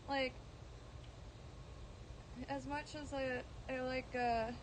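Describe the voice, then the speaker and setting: Woman, high voice, rambling livestream host, droning at times, noisy outdoor phone audio. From Florida. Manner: meandering, many ums and restarts, casual swearing. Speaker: plant vlogger streaming live. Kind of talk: casual rambling livestream chat. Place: outdoors in a garden.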